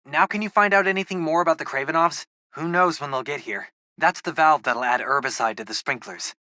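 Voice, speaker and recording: A man with an American accent voicing a geeky, excitable teenage boy, a loyal superhero's tech sidekick in a video game, talking informally and eagerly. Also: a little high, brisk, dry recording, clean studio sound. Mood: casual briefing. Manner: informal, eager, nerdy tech talk with quips. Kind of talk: video game voice acting, casual radio-style chatter.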